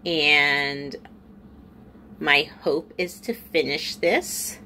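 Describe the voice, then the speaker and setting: A woman in her forties, a beauty vlogger talking to camera at home, chatty and a little stuffy and nasal, dropping into low asides. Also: steady tone, drawn-out words, sharp tone, a little hiss or hum. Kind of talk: casual chat to camera. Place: small room at home, indoors. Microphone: camera in front at close range.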